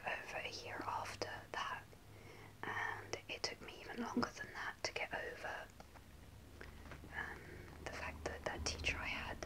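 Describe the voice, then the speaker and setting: A young woman telling a personal story in a soft, hesitant ASMR whisper, close to the camera in a small room. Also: low-quality recording. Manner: soft unvoiced whisper, hesitant with many ums. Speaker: ASMR creator. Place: small indoor room before a starry backdrop. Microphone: close to a camera at face level.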